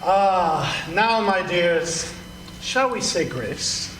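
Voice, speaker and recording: A man's voice: high-pitched, animated, extremely slow, fairly bright tone, full character voice. A man playing a kindly, humble father in a live radio play, speaking tenderly and earnestly. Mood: warm, ceremonious. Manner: tender, earnest, swooping delivery. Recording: roomy stage sound with clear noise.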